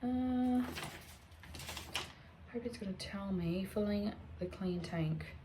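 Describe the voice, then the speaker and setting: A woman with an Australian accent, croaky from allergies, chatting casually as she works through a DIY cleaning job at home. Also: medium pitch, slow pace, noisy background. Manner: thinking aloud, chatty, self-correcting. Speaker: homestead vlogger. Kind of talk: casual DIY vlog chat. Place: home, indoors.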